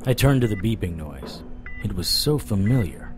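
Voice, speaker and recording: A supernatural private investigator telling his own story in a low voice. He is wry, deadpan and world-weary, in the noir manner of audio drama. Music plays clearly behind him. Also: normal speed. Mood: puzzled, wry. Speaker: narrator and lead actor. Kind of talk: noir first-person audio drama narration.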